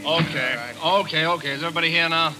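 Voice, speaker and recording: An actor playing a blunt, impatient police lieutenant in a 1940s radio drama, brusque and interrogating. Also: male voice, a little high, steady tone, fast talker, loud music behind the voice, close to an everyday voice. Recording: hissy old radio recording.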